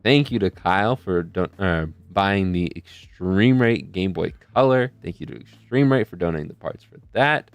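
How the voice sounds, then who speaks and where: A man's voice: low-pitched, animated, moderate pace, hardly any pauses, clean tone, no room sound. A young man hosting a charity live stream in a casual, rambling way, often losing his train of thought. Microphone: desk mic close to a face camera.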